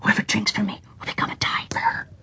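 A woman voicing an enchanted spring in a fairy tale, an eerie water spirit chanting warnings in a breathy whisper. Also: brisk, pushed and bright, natural voice. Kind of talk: children's fairy tale storytelling.

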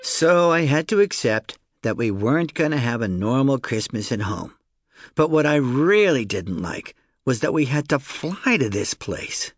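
A man reads a first-person children's audiobook in a conversational, storytelling way. His mid-pitched voice moves around in animated swings, recorded close and dry with no room sound. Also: unhurried, no room sound. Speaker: audiobook narrator.

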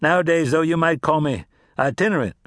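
A man's voice: fairly high pitch, a little fast, a bit bright, dry recording, clean studio sound, voice pushed up, natural voice. A male narrator voicing a laconic young cowboy with an easygoing drawl. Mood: easy.

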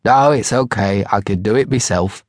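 A man voicing a testy, proud, absent-minded English professor with a husky Cockney accent, in an audiobook story. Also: on the low side, moderate pace, no echo, studio quality, natural voice. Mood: calm, insistent.

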